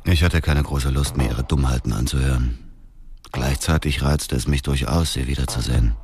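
A cold, vengeful man tells his own story in a radio crime play. His voice is extremely low, detached and full of contempt, with music clearly behind it. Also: moderate pace. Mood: scornful. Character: cold, embittered male avenger narrating his revenge. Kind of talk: scripted first-person radio drama narration.

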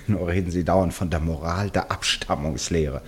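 An actor in a German radio play playing an eccentric, unworried amateur detective who talks in teasing, rhetorical, dismissive turns. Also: male voice, sits low, normal speed, natural voice. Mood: grudging, wry. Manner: rhetorical, breezily dismissive, exasperated.

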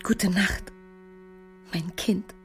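An actress playing an ailing, anxious older mother in a German radio drama, tenderly cautioning her son in a hushed, frail, breathy voice. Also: on the low side, steady tone, very slow, faint music behind.

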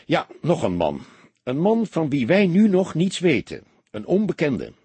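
A man voicing an older, experienced police detective in an audiobook, a patient mentor who explains deliberately with mild reproach. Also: fairly high pitch, wildly swooping pitch, dark tone, breathy edge, dry recording, voice pushed up, heavily acted. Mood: knowing, calm. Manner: didactic, deliberate, mildly reproachful. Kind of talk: audiobook character dialogue.

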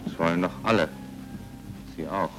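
A serious noir man played by an actor with a fairly low voice, speaking in clipped radio-play lines. Music is clearly audible behind him. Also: moderate pace, natural voice. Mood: weary, bitter. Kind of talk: radio crime drama acting.

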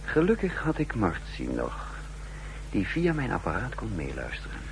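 Casting: calculating undercover intelligence officer, low voice, vintage radio-drama delivery with slight hum. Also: male voice, huge pitch swings, normal speed, gravelly, full character voice. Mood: scornful. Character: calculating male counter-intelligence officer, undercover, recounting events. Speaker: lead actor and narrator. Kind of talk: 1960s Dutch radio drama acting. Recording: humming, noisy 1960s radio recording.

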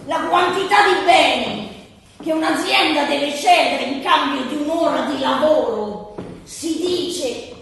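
An actress playing a pedantic economist in a satirical stage lecture, didactic, halting and emphatic. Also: female voice, high-pitched, animated, vocal fry, a bit bright, a little hiss or hum, full character voice. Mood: pedantic, insistent. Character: pedantic female economist lecturing on wage formulas. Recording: very echoey hall, distant mic.

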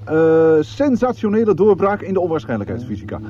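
A curious, bewildered male space traveller in a radio play. His voice is mellow and swings up and down as he hesitates. The recording is dull, with faint noise. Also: on the high side, sing-song, fairly quick. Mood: eager, curious.

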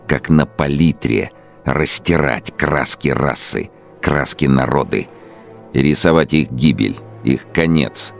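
An actor in a radio play playing a fanatical, megalomaniac male dictator, brooding and declaiming in a grandiose, emphatic monologue. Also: full character voice. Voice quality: very deep, gravelly.

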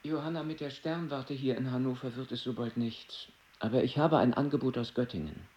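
An actor playing an unworldly husband in a radio play, indifferent to politics. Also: male voice, mid-range voice, fairly quick, darkish tone, highs cut off, lightly acted.

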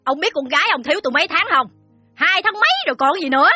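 A woman's voice: high voice, big pitch swings, brisk, sharp tone, no echo, faint music behind, heavily acted. A woman playing a middle-aged, sour, bullying loan shark in an audio story, sneering and scolding with a Southern Vietnamese accent. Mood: shrewish, indignant. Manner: sneering, shrewish, haughty scolding. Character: fat, shrill, sour loan-shark madam, crude and bullying. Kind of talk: audio story drama dialogue.

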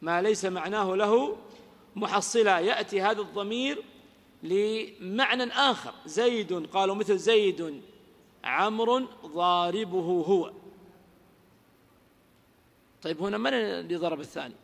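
High-voiced man teaching in a reverberant hall. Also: a bit bright. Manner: quizzes listeners with rhetorical questions; recites verse lines slowly. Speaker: grammar teacher. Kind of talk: lecture explaining a classical grammar poem. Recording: lecture audio with long hall reverb.

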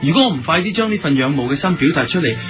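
An actor with a Hong Kong Cantonese accent playing a naive, lovesick young shop owner, gushing and stammering in a radio drama. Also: male voice, a little high, expressive, rapid-fire, natural voice. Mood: feverish. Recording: music behind the voice.

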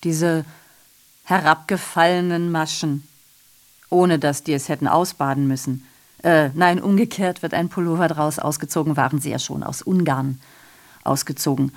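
An actress playing an ironic, rambling messenger in a radio drama monologue, sardonic, declamatory and interrupting herself. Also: female voice, low voice, fairly even intonation, moderate pace. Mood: sardonic, musing. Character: ironic, rambling female messenger addressing the audience.

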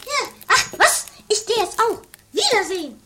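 Piercing, squeaky, jittery little character. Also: big pitch swings, normal speed, pushed and bright, full character voice. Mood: huffy, whiny. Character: shrill, squeaky, jittery little cave companion, easily offended. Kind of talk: campy improvised comedy-horror radio play. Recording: slightly noisy homemade tape.

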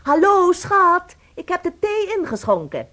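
An actress playing an anxious, doting young wife in a radio play, melodramatic in manner. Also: female voice, very high voice, big pitch swings, unhurried, over music, exaggerated voice. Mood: affectionate, puzzled. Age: young adult. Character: distraught, doting 27-year-old wife of a shrinking man.

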